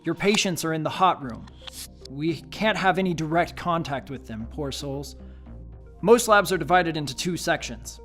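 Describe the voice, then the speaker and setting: An actor playing an idealistic, cautious scientist in a radio play, measured and explanatory. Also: male voice, fairly high pitch, expressive, a bit bright, soft background music.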